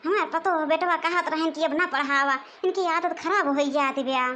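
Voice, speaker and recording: A woman's voice: extremely high pitch, steady tone, moderate pace, a bit bright, a little hiss or hum. A woman voicing a worried, scolding village mother-in-law in a cartoon comedy, with an Awadhi accent and a lamenting, nagging manner. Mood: exasperated, regretful. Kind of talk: animated comedy dialogue.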